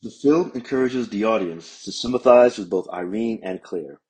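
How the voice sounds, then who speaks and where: A man with a very husky, mellow voice gives prepared academic commentary like a professor explaining a point. His pitch is on the low side, and he speaks in a measured way. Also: low-quality recording.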